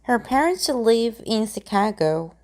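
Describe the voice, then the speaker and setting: A woman reading simple grammar example sentences aloud in a slow, deliberate way, pausing between each one. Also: fairly high pitch, very animated.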